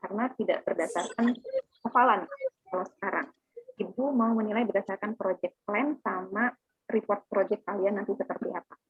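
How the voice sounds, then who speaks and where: A woman's voice: medium pitch, brisk, slightly rough, no room sound. A woman teaching an online class, explaining plans and often checking that students understand. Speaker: teacher giving a class briefing. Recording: narrow phone-like online call audio.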